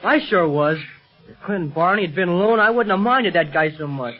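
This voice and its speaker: Eager brave teen boy in old 1930s radio serial, high voice, dull muffled sound. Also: animated, brisk, faint music behind. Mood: reassuring. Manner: earnest, boyish, exclamatory. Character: brave, earnest, eager teenage boy adventurer.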